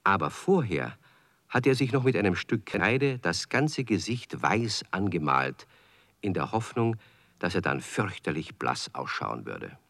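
A man narrating a children's radio play as a storyteller, with expressive, clearly phrased scripted delivery. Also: low-pitched, unhurried, no echo, heavily acted. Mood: calm storytelling. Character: male storyteller of a children's tale.

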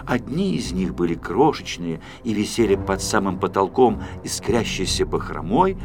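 Animated male storyteller over music. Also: fairly low pitch. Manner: expressive, dramatic storytelling. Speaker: audiobook narrator. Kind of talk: dramatized children's story narration.

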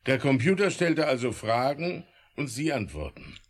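A man's voice: medium pitch, very animated, normal speed. A formal, skeptical male government official. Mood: formal, puzzled. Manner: formal, polite, dismissive. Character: skeptical, courteous male government under-secretary, rational and patronizing. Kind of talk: German radio play acting.

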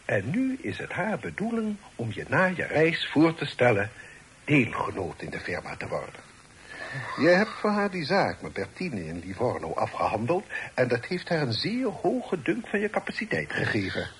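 An authoritative, middle-aged father in a radio play. He speaks deliberately and formally at a medium pitch, with lively rises and falls. The old recording carries faint noise. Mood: businesslike, firm. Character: authoritative, worldly father advising his grown son. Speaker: radio drama actor. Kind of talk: Dutch radio play acting.

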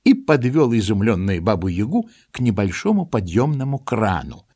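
A man narrating a children's story in an expressive, theatrical and playful way, with rhetorical asides. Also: fairly low pitch, moderate pace, slightly rough. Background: music.

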